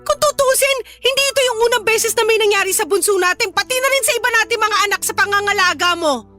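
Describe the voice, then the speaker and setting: A woman's voice: extremely high pitch, fast, over music. A woman playing an exasperated, sarcastic young working mother scolding her partner in a Filipino radio drama. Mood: accusing, scolding.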